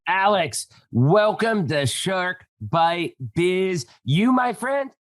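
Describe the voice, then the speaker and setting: A man's voice: high voice, animated, very slow. A man hosting a podcast interview, chatty and enthusiastic with a joking manner. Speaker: podcast host. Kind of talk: casual interview.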